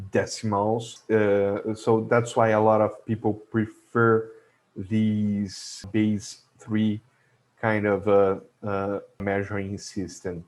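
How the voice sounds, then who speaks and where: A man with a Brazilian Portuguese accent talks through a lesson at an unhurried, halting pace. His voice sits fairly low, and he pauses often to search for words. He chuckles now and then at his own remarks. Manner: hesitant, many filler sounds and restarts, chuckles to himself. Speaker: logistics educator. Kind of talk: explanatory video lesson.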